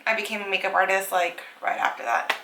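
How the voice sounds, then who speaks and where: A woman's voice: mid-range voice, fairly even intonation, fast, creaky, bright, forceful tone, echoey room, distant mic, a little hiss or hum. A woman in her twenties, a makeup artist, chatting casually with her husband in a Q&A video, full of fillers and laughs. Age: 20s. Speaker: makeup artist and channel co-host. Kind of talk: casual couple Q&A chat.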